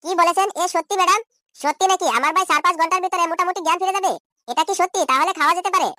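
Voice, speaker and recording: Casting: man to play excitable, fretting young cartoon man, very high altered voice, gabbling pace, dry sound. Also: very fast, dry recording. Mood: anxious, pleading. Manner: pleading, exclaiming, questioning. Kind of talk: comedy cartoon dub.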